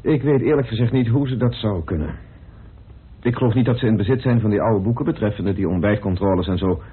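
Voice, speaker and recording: An actor playing a measured, probing man in a radio play, explaining things firmly and deliberately. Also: fairly low pitch, breathy edge. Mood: matter-of-fact. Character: measured, probing man laying out evidence, firm and principled. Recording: muffled, narrow old radio recording.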